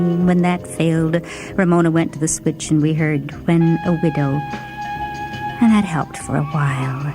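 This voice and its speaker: A woman's voice: medium pitch, rough recording. A woman giving a dramatic first-person reading of a short story as its narrator. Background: background music.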